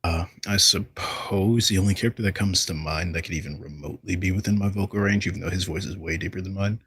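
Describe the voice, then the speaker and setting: A man chatting casually as a panel guest in a gravelly, husky voice. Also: sits low, fast talker, a bit bright, no echo. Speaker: voice actor on a podcast panel. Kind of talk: casual chat.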